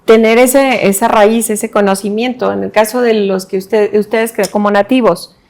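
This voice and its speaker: A woman's voice: mid-range voice, darkish tone. Affirming woman panel host. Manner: affirming, frequent agreement interjections. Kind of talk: moderating a panel.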